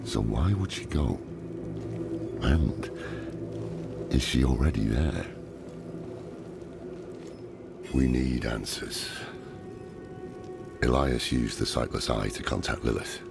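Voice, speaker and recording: A grave old scholar from a fantasy game. His voice is extremely low and heavy with gravelly creak. He speaks in a weary, deliberate, brooding way over loud cinematic music. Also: heavily acted. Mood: brooding, questioning. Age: older. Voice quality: deep gravelly voice. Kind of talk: dramatic video game acting.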